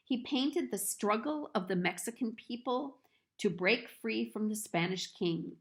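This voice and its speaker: A woman reads a picture book aloud for children. She takes her time, placing each phrase deliberately. Her mid-pitched voice moves through lively, expressive rises and falls as she tells the story.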